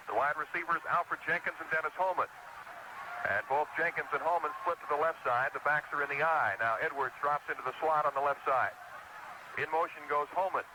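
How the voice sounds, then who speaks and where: A vintage 1970s radio broadcast with plenty of noise carries an American man's play-by-play of a football game. His voice sits a little high for a man. He describes the field in crisp, descriptive phrases as the live announcer. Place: stadium broadcast.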